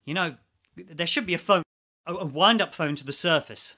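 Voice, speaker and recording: A posh male ex-army geologist in a radio play, played by a male actor, with a forceful, slightly high voice. He is trapped underground and talks in a sardonic, needling way, always ready to argue. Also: moderate pace. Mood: urgent.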